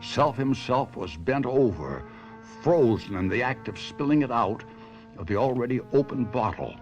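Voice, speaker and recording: An actor playing a troubled, sentimental stage ventriloquist in a theatrical, brooding 1970s radio mystery drama style. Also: male voice, mid-range voice, expressive, moderate pace, darkish tone, dull audio, soft background music, natural voice. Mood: sombre narrating.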